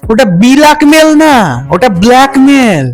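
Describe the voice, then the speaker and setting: A man's voice: very high voice, expressive, moderate pace. A man delivering a mocking meme-review roast, full of crude slang and sarcastic teasing. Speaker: roast video host. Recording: music bed under voice.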